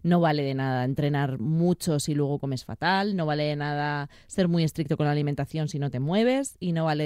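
A woman from Madrid, a personal trainer and former elite athlete, speaking as a podcast guest and explaining eagerly in quick bursts. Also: sits low, darkish tone, no echo, clean studio sound. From Madrid, Spain. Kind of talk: casual interview.

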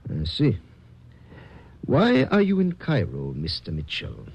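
Cairo police lieutenant: husky, swooping intonation, courteous, measured phrasing, suspicious, old radio serial. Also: male voice, mid-range voice, wildly swooping pitch, darkish tone, lo-fi sound, full character voice. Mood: politely probing. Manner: polite, measured, precise diction. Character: formal, suspicious Egyptian male police lieutenant. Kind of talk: 1950s radio drama acting.

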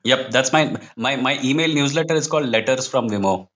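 A man's voice: medium pitch, fairly quick, a bit bright, highs cut off. A man with an Indian accent speaks as an interview guest in casual, self-deprecating conversation. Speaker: podcast guest, freelance writer.

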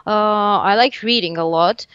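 A woman whose first language is Serbian speaks as an interview guest, hesitating and restarting often. Her voice is smooth and clean, recorded dry with slightly muffled highs. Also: a little slow, fairly bright tone, no echo. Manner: hesitant, many fillers and restarts. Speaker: musician guest. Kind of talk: casual interview chat. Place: living in Tunisia.

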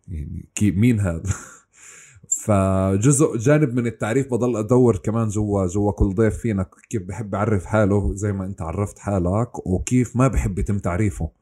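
A man hosting an interview in a relaxed, joking way. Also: lowish voice, husky. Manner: relaxed, joking, laughs easily. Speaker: interview host. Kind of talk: casual interview chat.

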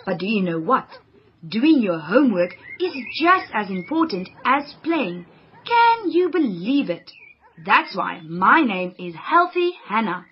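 A woman's voice: mid-range voice, very animated, unhurried, muffled recording, slight background noise. A woman voicing a cheerful, healthy girl who greets the viewers and chats to them directly. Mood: chirpy. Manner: chirpy, addresses viewers directly.

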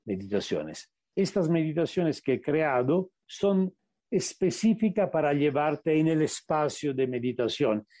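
A man with an Italian accent, a native Italian speaker, giving a radio interview as a meditation teacher and often pausing to search for the right word. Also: on the high side, expressive, mellow, dry recording, clean studio sound. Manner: searching for words, slowing to stress points. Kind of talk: reflective interview answers.

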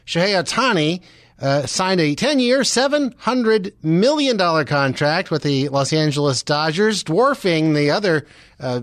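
A man's voice: on the high side, no echo, clean studio sound. A man hosting a radio show, giving sports and weather updates in a conversational way. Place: radio studio.

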